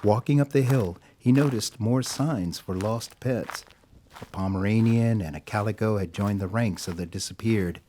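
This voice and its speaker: Man, lowish voice, narrator, scripted fiction reading, audio drama storytelling. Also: normal speed, dark tone, slight background noise.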